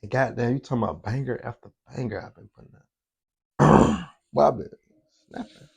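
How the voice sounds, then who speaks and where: A man's voice: medium pitch, fairly quick, creaky, a little mellow, breathy edge. A man hosting a reaction video, talking in a loose, slangy, chatty way. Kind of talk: casual reaction commentary.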